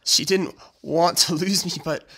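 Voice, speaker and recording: A man acts out a young man facing death for his best friend in an audio drama. His voice is husky and strained yet bright-edged and tense. He speaks slowly and haltingly, with loving, forgiving resolve. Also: on the high side, fairly even intonation, heavily acted. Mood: tender, resigned. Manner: halting, earnest, deliberate. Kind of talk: emotional audio drama acting.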